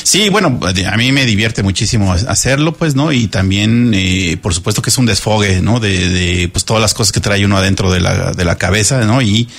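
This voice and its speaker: A musician guest on a radio interview, a man with a low, gravelly voice and a Mexican accent. He speaks relaxed and unhurried, dropping in fillers and tag questions.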